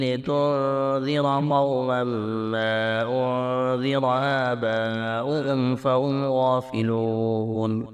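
A man chants the Quran as a reciter, with an extremely smooth and resonant voice. His delivery is slow and measured, each phrase ending stretched out melodically. The pitch sits in a middle male range.

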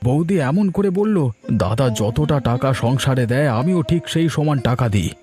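A man's voice: on the high side, expressive, moderate pace, darkish tone, faint music behind, close to an everyday voice. A man voicing a concerned, reasonable young village husband in a children's cartoon, with an earnest manner. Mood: troubled.

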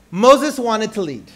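A young man preaching in a church hall, playful and joking, pausing often for an interpreter. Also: high-pitched, fairly bright tone. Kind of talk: sermon with live interpreter.

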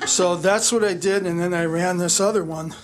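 A man's voice: high voice, fairly even intonation, normal speed. A man casually retelling a story and explaining his do-it-yourself work, in a plain, rambling, folksy way. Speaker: vlog co-host, handyman husband.

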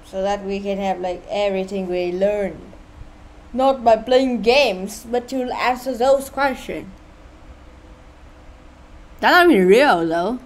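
Vietnamese-accented woman gaming channel host. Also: mid-range voice. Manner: sighing, sarcastic asides, halting and unhurried. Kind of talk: casual gameplay commentary.

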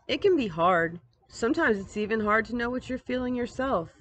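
A woman voicing a concerned, patient mother who reasons gently, in a storybook read-aloud. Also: a little high, expressive, fairly quick, pitched above the performer's own voice. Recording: outdoor ambient noise, device microphone.

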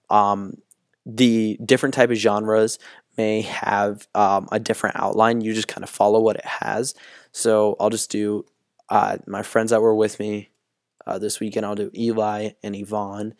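A young man with a hoarse, partly lost voice, casually talking through a screen-recording tutorial with lots of ums and uhs. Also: lowish voice, no room sound, studio quality. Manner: relaxed, full of ums and uhs. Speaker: YouTube tutorial host.

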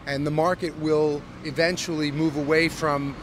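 A middle-aged American man, a company CEO, giving a fluent corporate pitch with frequent ums and uhs in a street interview. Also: little pitch movement, normal speed. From United States. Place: busy downtown street outdoors. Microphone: handheld mic held by interviewer. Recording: outdoor street with traffic noise. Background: city traffic.

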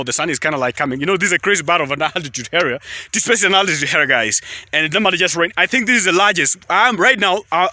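A man's voice: high-pitched, pushed and bright, dry recording. A young Kenyan man with a Kenyan accent, rambling casually into his phone at arm's length outdoors in a tea field, repeating himself often. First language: Kalenjin. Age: young adult. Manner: rambling, repetitive, keeps saying guys. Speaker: vlogger. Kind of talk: casual selfie vlog.

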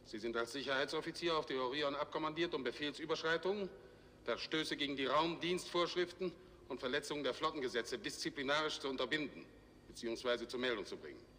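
Stern space general, hard bright voice. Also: male voice, a little high, quick pace. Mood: brisk, official. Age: middle-aged. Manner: clipped, formal, authoritative. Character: stern, commanding middle-aged male space fleet general. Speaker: actor. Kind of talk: 1960s German TV science fiction acting. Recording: reverberant, noisy 1960s TV soundtrack.

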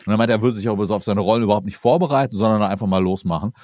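A man's voice: lowish voice, racing, darkish tone, no echo. A German man co-hosting a casual history podcast, explaining chattily with fillers and restarts. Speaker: podcast co-host presenting the episode.

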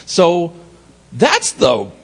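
A man's voice: fairly high pitch, huge pitch swings, drawn-out words, husky. A man giving a conference talk, telling a story with profane comic asides and acted-out exchanges. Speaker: conference speaker. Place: conference hall.

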